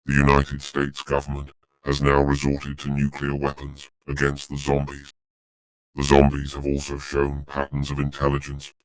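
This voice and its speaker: A synthetic male text-to-speech voice reading a formal, flat, scripted emergency alert announcement. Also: rumbling low, smooth, low-quality recording.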